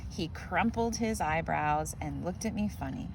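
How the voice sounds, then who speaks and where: A woman reading a children's picture book aloud in a gentle, expressive way. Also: deep voice, unhurried, gravelly, noisy background. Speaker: storybook reader.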